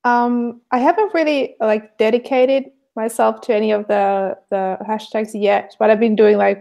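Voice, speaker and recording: A woman's voice: mid-range voice, creaky, warm tone. A woman from Finland with a Finnish accent, chatting casually and hesitantly on a livestream. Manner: hesitant, many fillers. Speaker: artist, co-host.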